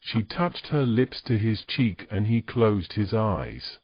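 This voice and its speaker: Male narrator, fairly deep, reading story prose in a dry, slightly dull recording. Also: lively intonation, slow, no echo.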